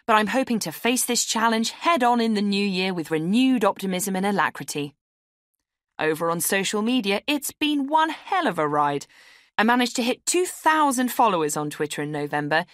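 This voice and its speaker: A woman acts out a young British woman reading her satirical Christmas email in an audiobook. She is brittle and over-bright, leaning on words with sarcastic, arch emphasis. The sound is dry and close. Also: medium pitch, no room sound, close to an everyday voice. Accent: British English. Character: brittle, falsely cheery young female Christmas-newsletter writer. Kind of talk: satirical audiobook monologue as a round-robin email.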